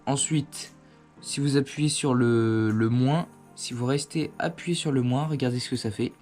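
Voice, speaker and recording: A man's voice: medium pitch, a little slow, a little mellow, faint music behind. A man giving a casual, hands-on product demonstration at home, explaining things in an informal, conversational way. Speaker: host of a motorcycle gear review channel. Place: indoors at home.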